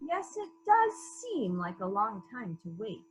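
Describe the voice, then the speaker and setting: A woman's voice: medium pitch, huge pitch swings, measured pace, slightly rough, heavily acted. A woman playing a polite, probing police inspector in a murder mystery reading, asking formal, clipped questions.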